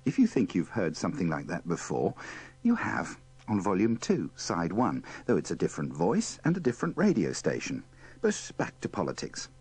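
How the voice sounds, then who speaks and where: A man's voice: deep voice, wildly swooping pitch, normal speed, a little mellow, husky. A man presenting in scripted, wry narration with a dry, tongue-in-cheek manner.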